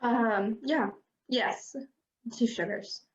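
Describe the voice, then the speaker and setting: A woman plays a distraught young woman in an amateur drama, in a high, slow voice. Her delivery is halting, emotional and apologetic, recorded with dull, roomy sound. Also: noisy background. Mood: awkward. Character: distraught young woman fleeing a broken relationship. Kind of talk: fan-made drama acting over video call.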